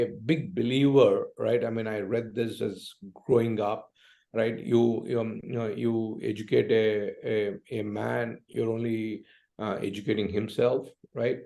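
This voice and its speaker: Male interview guest, South Indian accent, gravelly fry, unhurried and halting, often seeks agreement mid-thought. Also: mid-range voice, darkish tone. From Hyderabad, Deccan region of India. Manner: hesitant phrasing, frequently tags sentences with "right?". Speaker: entrepreneur and investor, interview guest. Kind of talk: conversational interview answer.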